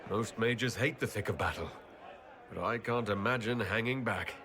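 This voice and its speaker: A man's voice: lowish voice, moderate pace, crowd noise, natural voice. An actor playing a composed, well-spoken young nobleman and leader in a video game, articulate and courteous. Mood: conversational. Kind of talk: video game character acting.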